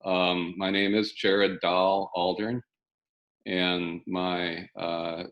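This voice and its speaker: Halting low-voiced man in his sixties. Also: level tone, highs cut off. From South Dakota, US. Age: 60s. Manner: measured, halting, with many restarts. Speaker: panelist, fire scholar. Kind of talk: online panel presentation. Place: home basement. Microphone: video call.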